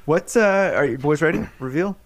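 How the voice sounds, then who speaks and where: A man's voice: a little high, animated, moderate pace. A man hosting a trivia game on a podcast, joking and often repeating his words as he reads questions. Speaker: quiz host.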